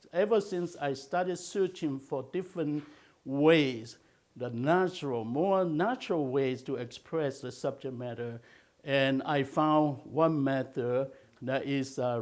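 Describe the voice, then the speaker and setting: An older man from China, raised in Malaysia, teaching a watercolor technique in a slow, halting way with many filler sounds. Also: mid-range voice, darkish tone. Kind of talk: instructional video talk.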